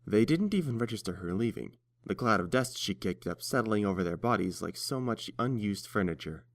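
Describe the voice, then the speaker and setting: A man's voice: fairly low pitch, animated, a little fast, no room sound. A man reading scripted story narration as the narrator of a tale.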